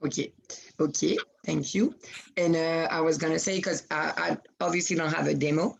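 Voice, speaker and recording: Husky-voiced young woman. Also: sits low, steady tone. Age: 20s. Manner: hesitant, halting with restarts. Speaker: acting student. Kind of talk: asking questions in class.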